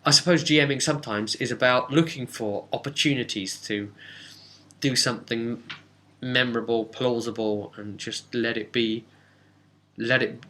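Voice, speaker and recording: A man hosting as game master, informally recapping a tabletop roleplay session in an animated, reflective way. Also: medium pitch. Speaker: game master and channel host.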